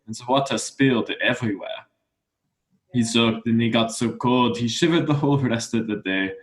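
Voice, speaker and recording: A man's voice: mid-range voice, normal speed, natural voice. A young man playing a patient, explanatory father of small children in a radio play. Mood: fondly amused. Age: young adult.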